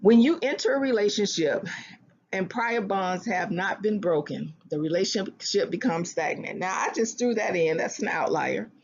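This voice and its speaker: A speaker giving earnest relationship advice in a self-help talk, often restarting phrases and slowing down to stress a point. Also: medium pitch, muffled recording. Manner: earnest, repeats and restarts phrases, slows for emphasis.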